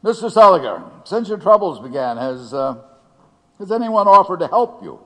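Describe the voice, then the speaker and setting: An actor playing a dogged, sly defence lawyer in a courtroom audio drama, halting and insinuating. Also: male voice, high voice, big pitch swings, sharp tone, exaggerated voice. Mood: probing. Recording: long hall reverb.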